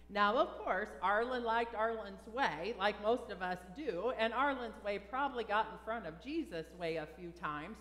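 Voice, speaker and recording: An older woman, a pastor, giving a funeral sermon in a measured way with short, paused phrases. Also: medium pitch, a bit bright. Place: large church sanctuary. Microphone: lectern gooseneck microphone. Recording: echoey church hall, faint background noise.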